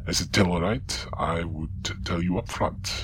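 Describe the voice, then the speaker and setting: A man's voice: sits low, measured pace, a bit bright, a little hiss or hum. A man voicing a blunt, clinical alien counselor in a sci-fi audio drama, with an Austrian-sounding accent and a habit of tagging "yeah?" onto statements. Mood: clinical, frank. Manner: frank, tags statements with "yeah?".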